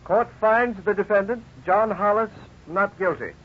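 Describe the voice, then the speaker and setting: An actor playing a stern judge, formal and measured, in a 1950s radio drama. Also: male voice, sits high, slightly rough. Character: stern, formal male judge delivering a ruling. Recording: dull 1950s radio recording.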